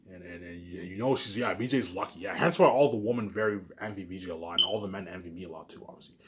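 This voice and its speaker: A man's voice: low voice, quick pace, very noisy. A man in a rambling informal monologue to viewers, boastful and circling back over the same phrases. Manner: boastful, self-aggrandizing.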